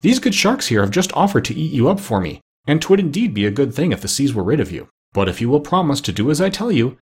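A kindly storybook doctor lays down the law gently but firmly, as a man reads him. The voice is husky and lively in its intonation. It stays close to the reader's own tone. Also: medium pitch, quick pace. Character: kindly, firm middle-aged male doctor.

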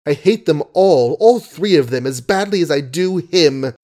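A man's voice: fairly high pitch, expressive, moderate pace, darkish tone, voice pushed up, close to an everyday voice. A man voicing a proud, temperamental young heiress, speaking in a petulant, exasperated way.

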